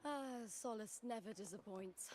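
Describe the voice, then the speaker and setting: A woman playing an earnest, proud young female gladiator who is warm but privately afraid, in video game story dialogue. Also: mid-range voice, unhurried, a little hiss or hum, close to an everyday voice. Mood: cheerful, welcoming. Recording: game music behind the voice.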